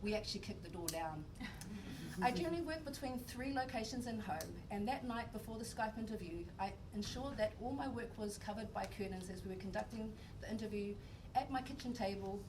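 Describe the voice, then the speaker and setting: A woman's voice: mid-range voice, lively intonation, brisk. Earnest woman reading a prepared speech. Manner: earnest, emphatic. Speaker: speaker, singer-songwriter. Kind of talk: prepared speech read aloud. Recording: distant mic in a noisy room.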